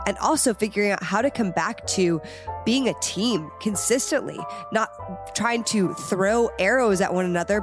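A young woman hosting a dating podcast, upbeat and encouraging, with a little nasal from a head cold. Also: mid-range voice. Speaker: podcast host. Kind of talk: casual podcast chat.

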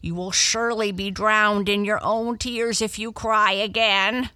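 A fussy, excitable male frog out of a children's storybook, acted by a woman. He is bossy and complains in formal phrases, with a bright, forceful tone and very animated pitch. The sound is close and dry. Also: slow, no room sound, exaggerated voice. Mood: alarmed.

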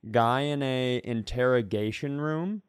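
A man's voice: medium pitch, measured pace, clean tone, dry recording, clean studio sound. A man telling stories casually on a comedy podcast, rambling and self-mocking, with loose, sweary delivery.

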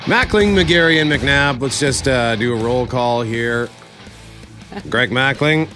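A man's voice: mid-range voice, takes it slowly, over music. A man co-hosting a morning radio show in casual chat, rambling and correcting himself, chuckling now and then. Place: radio studio. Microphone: studio microphone.

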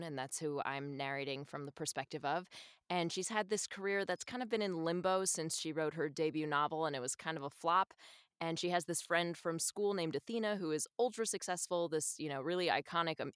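A woman's voice: low-pitched, smooth, dry recording, clean studio sound. A woman speaking as an interview guest in a casual, chatty, effusive way. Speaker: audiobook narrator, interview guest.

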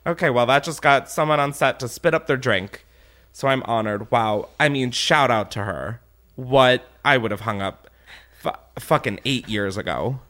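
A man's voice: medium pitch, moderate pace, sharp tone. A man hosting a comedy podcast, bantering casually in a campy, exaggerated, slangy way as he makes prank calls.

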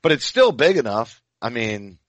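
A man's voice: medium pitch, sing-song, moderate pace, no echo. A man in his forties from Maine with an American accent, chatting casually and enthusiastically about sports as a podcast co-host, full of chummy slang. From Maine, United States.

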